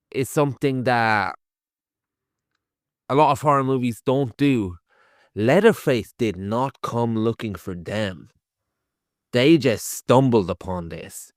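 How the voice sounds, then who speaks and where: A man's voice: medium pitch, dry recording, studio quality. A man with an Irish accent, a podcast co-host giving a casual, conversational film review and thinking aloud as he goes. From Ireland.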